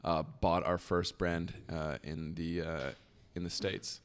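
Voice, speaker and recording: A young man chatting casually as a podcast guest, rambling with lots of fillers and trailing off quietly. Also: deep voice, flat delivery, takes it slowly, clear, resonant tone. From Canada. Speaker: podcast guest, business founder. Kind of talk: casual conversation.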